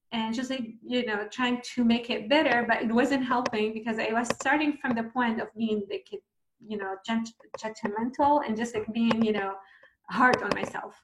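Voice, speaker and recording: A woman's voice: fairly high pitch, roomy sound, muffled recording, noisy background. A woman from Syria, talking casually about lessons she has learned, with many fillers. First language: Arabic. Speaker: speaker. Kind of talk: casual talk sharing lessons.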